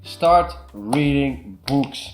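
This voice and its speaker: A man's voice: mid-range voice, lively intonation, extremely slow, music in the background. A young man from the Netherlands with a Dutch accent, earnestly giving casual self-improvement advice to camera. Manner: earnest, repeats "super" and "way better".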